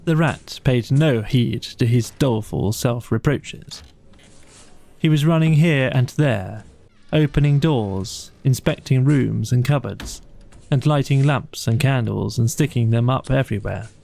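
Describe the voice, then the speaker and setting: A man's voice: medium pitch, a little slow, gravelly, mellow. A man narrating a bedtime story from a script as an audiobook reader.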